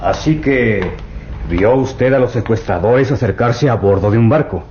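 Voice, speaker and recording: A man's voice: mid-range voice, unhurried, a little mellow, slight background noise, close to an everyday voice. A man playing a courteous embassy official. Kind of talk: vintage radio drama acting. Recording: dull lo-fi old radio recording.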